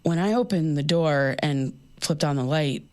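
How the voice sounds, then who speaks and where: Sleepless, anxious young woman, audio drama diary. Low register, naturalistic, hesitant and rambling delivery. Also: unhurried, a little mellow. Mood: weary, recounting. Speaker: lead actor in a fiction podcast.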